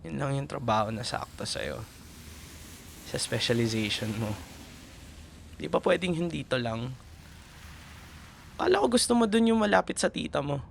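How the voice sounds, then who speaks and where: A man's voice: a little high, animated, moderate pace, creaky, long pauses, slight background noise. A man playing a young Filipino boyfriend, tender and proud, in an intimate audio roleplay, with a Filipino accent. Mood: hurt, frustrated. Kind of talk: ASMR boyfriend roleplay drama.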